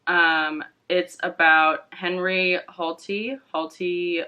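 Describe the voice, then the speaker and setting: A woman's voice: lowish voice, fairly even intonation, takes it slowly, clear, resonant tone, lo-fi sound. A young woman chatting casually about books as a vlog guest, with informal, tentative phrasing. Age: young adult. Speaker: guest co-host on a book vlog. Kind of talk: casual book chat.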